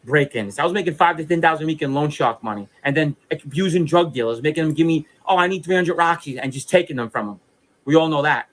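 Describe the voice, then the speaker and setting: Blunt, insistent New man, higher pitched. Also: fast talker, fairly bright tone, rough recording. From New York City. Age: 30s. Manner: blunt, boastful, insistent, profane. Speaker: former mobster making a video statement. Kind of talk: defiant personal monologue to camera.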